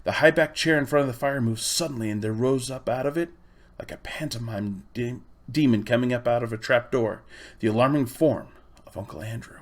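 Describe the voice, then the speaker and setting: A man's voice: mid-range voice, a little fast. Man narrating a storybook expressively. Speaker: audiobook reader.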